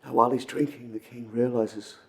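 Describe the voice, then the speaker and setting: A man acting a dramatic monologue as an earnest, wistful storyteller recounting an old legend, with intense pauses and swells. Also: medium pitch, fairly even intonation, creaky, close to an everyday voice. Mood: tender, rising wonder. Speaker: amateur actor performing a film monologue.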